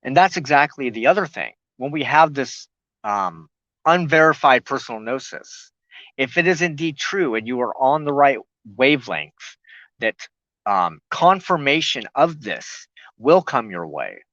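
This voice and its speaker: A man hosting a spiritual talk show, explaining loosely and haltingly, often pausing mid-sentence to search for words. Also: mid-range voice, animated, fairly bright tone, dry recording, lo-fi sound.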